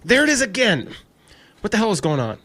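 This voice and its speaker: A man's voice: sits high, wildly swooping pitch, a little fast, bright, forceful tone, exaggerated voice. A man playing an overworked, irritable and suspicious lab worker in an audio drama, in a casual, questioning manner. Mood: alarmed. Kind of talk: naturalistic audio drama acting.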